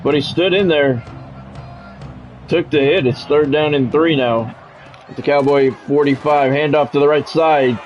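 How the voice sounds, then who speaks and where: A man's voice: a little high, unhurried, darkish tone, muffled recording, faint music behind. An American man calling live football video game play-by-play in clipped announcer phrases, with casual asides. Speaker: play-by-play streamer.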